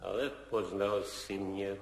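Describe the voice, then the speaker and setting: A man's voice: low voice, steady tone, creaky. A ghostly old grandfather in an echoing hall. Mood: gentle. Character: dead grandfather spirit, old man, gentle and wise. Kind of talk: 1970s radio drama acting. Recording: echoey room with long reverb.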